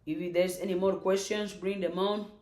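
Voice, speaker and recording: A woman's voice: on the low side, moderate pace, roomy sound. A woman hosting a motivational live stream, chanting repeated affirmations and inviting viewers to join and ask questions. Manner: bursts into excited cheering.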